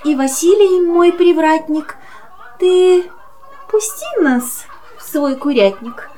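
Slow, high-voiced genteel homeless cat. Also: female voice, animated, dark tone, full character voice. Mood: pleading. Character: genteel, homeless female cat, plaintive and coaxing. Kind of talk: children's audio play in rhymed verse.

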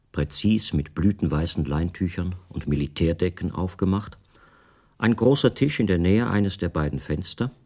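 An actor playing a captive writer who recounts his confinement in measured, precise, detached literary narration for a radio play. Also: low voice, darkish tone, full character voice.

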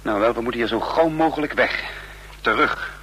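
An actor playing a shrewd, commanding operative in a radio drama, sardonic and controlled, with formal literary wording. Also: male voice, medium pitch, moderate pace, pushed and bright, husky. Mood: brisk, commanding. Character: shrewd commanding man, a cool seasoned operative who narrates. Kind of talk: radio drama acting and narration. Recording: noisy, humming old radio drama recording.

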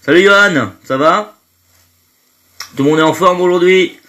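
A man's voice: sits high, moderate pace, sharp tone. A man hosting a live stream from home, stuffy with hay fever, chatting casually and greeting his viewers one by one. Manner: chatty, greets viewers by name, trails off with fillers.

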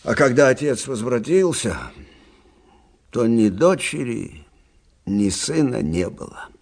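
A man's voice: mid-range voice, animated, slow, creaky, darkish tone, heavily acted. An actor playing an older army captain who recounts his memories conversationally in a Russian radio drama. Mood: reminiscing. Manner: conversational, reminiscing storytelling.

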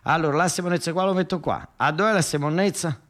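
A male stage actor doing a streetwise southern Italian trafficker in a radio play. His voice is pushed up high and comes out eager and obliging. He talks in colloquial bursts like a small-time crook closing a deal. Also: a little fast, fairly bright tone, lightly acted. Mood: street hustling. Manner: colloquial, obliging, wheeling and dealing.

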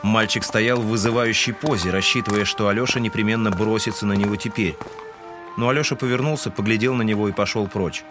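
A man's voice: on the low side, fairly even intonation, fairly quick. A man giving scripted literary narration in an audio drama. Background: music.

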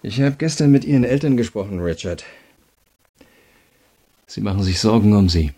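Radio crime drama prosecutor: low, creaky, subdued timbre, calm but insistent interrogator. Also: male voice. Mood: grave, probing. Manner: measured, insistent, pointed questioning. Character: calm, probing male state prosecutor interrogating a prisoner.

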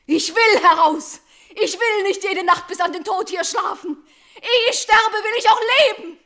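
An actress playing a fearful, trapped young princess who longs for life, pleading breathlessly in a classical radio tragedy. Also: female voice, very high-pitched, unhurried, sharp tone, heavily acted. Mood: desperate pleading. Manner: pleading, breathless, fearful, rising into desperate outcry. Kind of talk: classical German stage-tragedy radio acting.

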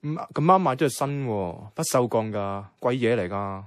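A man with a Hong Kong Cantonese accent playing a caring, easygoing young flatmate in a radio drama, talking casually and earnestly. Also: mid-range voice, normal speed, no room sound, clean studio sound, natural voice. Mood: caring, coaxing. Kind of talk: conversational radio drama dialogue. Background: background music.

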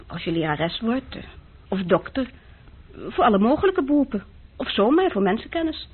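Husky, earnest young student. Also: female voice, a little high, sing-song, normal speed. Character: earnest, thoughtful young female university student, gently frank. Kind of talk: radio drama acting. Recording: muffled old radio recording.

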